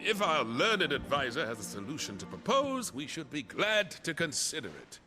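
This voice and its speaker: A man's voice: fairly high pitch, wildly swooping pitch, moderate pace. An actor playing a stern, formal statesman in a video game, speaking in a courtly, pointed way. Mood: pointedly polite. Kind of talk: video game cutscene acting. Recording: loud music under the voice.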